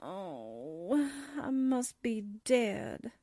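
An actress playing a jumpy, wisecracking American newspaperwoman sidekick in a 1940s radio drama, comically frightened with drawn-out exclamations. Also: medium pitch, big pitch swings, dark tone, no echo, clean studio sound, exaggerated voice. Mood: panicky.

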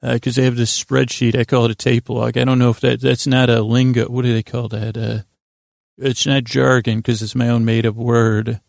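A man rambles through a bedtime monologue in a gravelly, creaky voice with little rise and fall. He hesitates, repeats himself and wanders off into asides. The recording is dry and close. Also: medium pitch, little pitch movement, a little mellow, dry recording. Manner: halting, repetitive, digressive, self-interrupting. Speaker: bedtime podcast host.

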